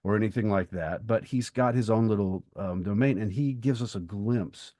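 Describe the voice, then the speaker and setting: Low-voiced man talking books, dry close sound. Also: moderate pace, mellow, no room sound. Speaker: podcast co-host. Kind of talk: casual literary discussion.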